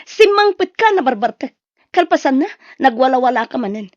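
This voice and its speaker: A woman's voice: high-pitched, very animated, fairly quick, no echo, muffled recording. A woman with an Ilocano accent playing a long-suffering wife who scolds her drunkard husband in an audio drama. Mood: scolding.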